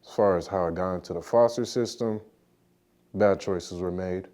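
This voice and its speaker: A man in his early twenties giving a personal interview in a halting, reflective manner. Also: low-pitched, warm tone. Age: early 20s. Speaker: youth who aged out of foster care.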